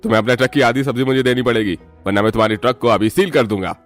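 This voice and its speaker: Casting: male performer as greedy bully policeman in a cartoon, quick, bossy, threatening, medium pitch. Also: faint music behind, lightly acted. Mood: bossy, demanding.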